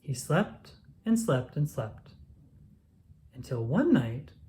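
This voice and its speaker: A storyteller reading a children's picture book aloud with expressive narration. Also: medium pitch, slow pace, slight background noise.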